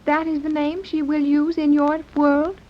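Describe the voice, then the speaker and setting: A woman playing a young female commander in a 1930s radio serial, speaking in a stilted, formal way with a high nasal whine. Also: level tone, measured pace. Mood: formal, detached. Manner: stilted, overly formal speech. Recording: dull, faintly noisy 1930s radio recording.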